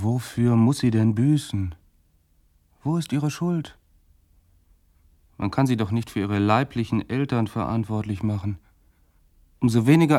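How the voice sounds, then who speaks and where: An actor playing a lodger in a radio drama, earnestly protesting. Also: male voice, mid-range voice, mellow, close to an everyday voice. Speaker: lodger listening to the maid. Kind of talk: 1980s radio drama acting.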